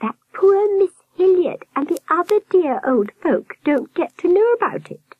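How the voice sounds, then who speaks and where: A woman voicing a huge storybook nurse who speaks in a high, warbling, gushing and falsely sweet voice. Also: big pitch swings, unhurried, no echo, narrow, tinny audio, full character voice. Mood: sugary dismay. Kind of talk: audiobook character voice.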